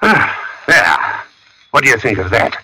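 An actor playing a simple working-class tombstone carver, halting and puzzled, in 1940s radio suspense style. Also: male voice, mid-range voice, wildly swooping pitch, pushed and bright, breathy edge, heavily acted, rough recording. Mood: plain, weary. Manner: hesitant, halting, muttering interjections. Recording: old radio recording with music behind.

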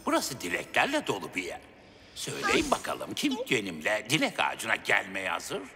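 Excitable, wildly swooping cartoon king. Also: fairly low pitch, moderate pace. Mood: delighted. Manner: theatrical, exclaiming, expressive. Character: cheerful excitable kindly little cartoon king. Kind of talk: animated children's cartoon acting. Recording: music behind the voice.

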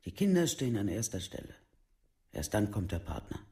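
A woman's voice: very deep voice, very animated, brisk, warm tone, husky, full character voice. An actress playing an anguished, defensive mother in a documentary radio play, plain-spoken and insistent. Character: Jutta, anguished, defensive mother of accused sons.

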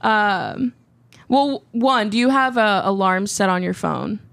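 A woman's voice: mid-range voice, lively intonation, vocal fry, fairly bright tone, no room sound. A woman in her twenties hosting a casual podcast at a desk mic, chatty and full of fillers. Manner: chatty, quick laughs, many "like" and "um". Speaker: podcast host. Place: small studio with textured walls. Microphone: desk mic on stand, headphones.

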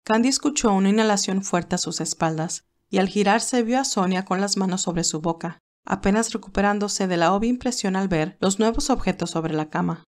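A woman's voice: medium pitch, fairly quick, hardly any pauses. A woman reading scripted narration of a romantic story.

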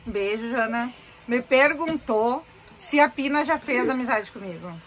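Woman, 30s, bright tone, chatty vlog, phone at arm's length, small boat cabin. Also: on the high side, unhurried, low-quality recording. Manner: chatty, animated, gestures while talking. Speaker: vlogger. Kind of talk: casual chat to camera. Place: small cabin of a sailboat, indoors. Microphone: handheld phone camera at arm's length.

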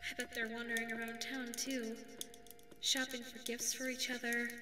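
High sweet female voice, woman acting as anxious cartoonish dog secretary, hesitant, self-doubting, ASMR roleplay, reverberant echo, music behind. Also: lightly acted. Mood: wistful daydreaming. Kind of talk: ASMR audio roleplay monologue. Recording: echoey reverberant sound with background music.

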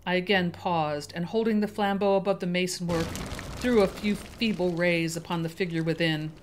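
A woman's voice: lowish voice, fairly even intonation, moderate pace, slight background noise. A woman storyteller giving a theatrical, ominous dramatic reading of a gothic story. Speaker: storyteller, channel host. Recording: music under the voice.

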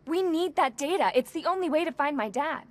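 A woman playing a determined, capable young computer expert in a video game, brisk and earnest. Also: sits high, expressive. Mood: earnest, urgent. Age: young adult. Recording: clear ambient and vehicle noise behind.

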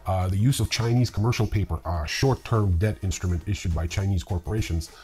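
A man in his fifties with a husky voice, hosting a news show with scripted commentary and personal asides. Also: sits low, a little fast, a little mellow. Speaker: host of a cryptocurrency news video series. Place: small indoor room lined with bookshelves.

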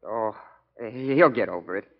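An actor playing a modest, deferential young college football player in a 1940s radio drama, speaking hesitantly and respectfully. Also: male voice, on the low side, unhurried, creaky. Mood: humble, unsure. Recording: dull, band-limited 1940s radio recording.

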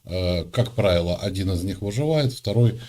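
A man arguing earnestly in a casual debate, pausing often with hesitant fillers. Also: deep voice, animated, warm tone. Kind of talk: casual argument.

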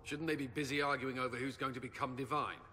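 A battle-weary male commander from a fantasy game, played by a man. His voice is bright and forceful, with a blunt, skeptical delivery. It carries a long reverb. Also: medium pitch, fairly even intonation, fast talker, a little hiss or hum, natural voice. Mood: dry, skeptical. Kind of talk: dramatic fantasy game acting.

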